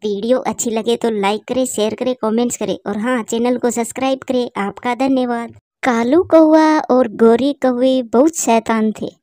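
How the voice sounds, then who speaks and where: High-voiced woman narrating a cartoon. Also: steady tone, moderate pace, dark tone, dry recording, clean studio sound. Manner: addresses viewers as dear friends, moralising.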